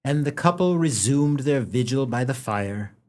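A man's voice: mid-range voice, expressive, measured pace, a little mellow. A man narrating a radio play as a scripted storyteller. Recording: noticeable background noise.

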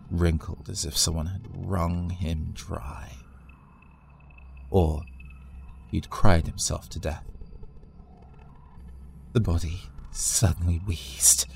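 Low-voiced man narrating horror. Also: creaky, slight background noise. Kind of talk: scripted first-person horror narration.

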